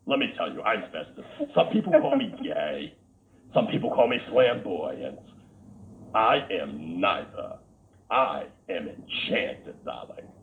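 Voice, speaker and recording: A man's voice: fairly low pitch, huge pitch swings, a little slow, full character voice. A man playing a flamboyant, theatrical male talk-show host with a Boston accent, gushing and calling everyone darling. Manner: gushing, calls everyone darling, camp asides. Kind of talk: comedic character interview. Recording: tinny phone-like line.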